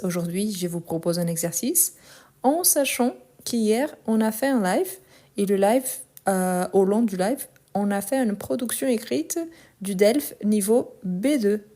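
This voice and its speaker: A woman teaching French in a live online lesson, speaking slowly and clearly and repeating phrases for learners. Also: medium pitch, dark tone. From France and Brazil.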